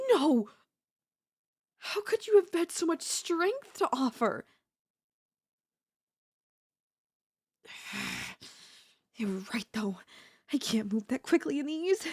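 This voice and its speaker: A woman's voice: sits high, darkish tone, exaggerated voice. A woman playing an obsessive, scheming yandere in a theatrical, haughty manner with formal phrasing, in an ASMR audio roleplay. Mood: frustrated, incredulous.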